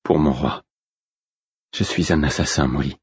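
A man's voice: extremely low pitch, fairly even intonation, unhurried, husky, lo-fi sound, full character voice. A man voicing a guilt-ridden young male assassin in an audiobook, subdued, confessional and pleading. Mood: grave. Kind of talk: audiobook character acting.

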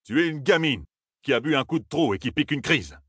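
A man voicing an older gleeman who speaks softly, patient and firm. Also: lively intonation, a little fast, husky, dry recording, clean studio sound. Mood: stern. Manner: soft, low and soothing. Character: old gleeman, gentle, weary and firm.

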